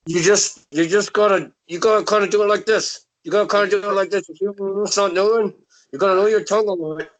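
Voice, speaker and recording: A man's voice: sits high, steady tone, quick pace, low-quality recording. A man co-hosting a podcast in casual chat, blunt and teasing, with plenty of swearing. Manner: blunt, teasing, foul-mouthed. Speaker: podcast co-host.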